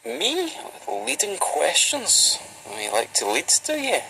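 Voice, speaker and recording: Teasing Glaswegian man, gravelly voice. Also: high-pitched, wildly swooping pitch, slow pace, pushed and bright, slight background noise, rough recording. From Glasgow, Scotland. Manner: flirty, joking, teasing, mock-serious. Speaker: vlogger. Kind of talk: playful casual chat.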